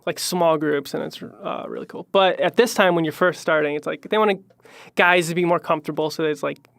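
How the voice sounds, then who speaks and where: A young man from Chicago with a high voice, chatting as a musician guest in a podcast interview, rambling with many fillers and trailing off quietly. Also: steady tone, brisk, vocal fry, dry recording. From Chicago, Illinois.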